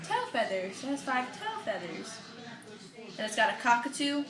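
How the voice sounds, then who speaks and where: A woman's voice: on the high side, big pitch swings, normal speed, roomy sound, a crowd in the background. A woman doing a parody read-aloud of a children's picture book, rambling through ad-libbed asides in a theatrical, emotional way. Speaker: comedic storybook reader.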